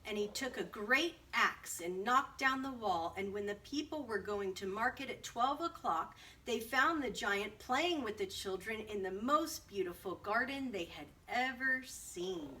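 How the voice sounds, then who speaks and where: Bright-voiced woman reading a storybook. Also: fairly high pitch, expressive, unhurried, hardly any pauses. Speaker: storybook reader. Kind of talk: expressive read-aloud children's story narration. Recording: distant mic, faint background noise.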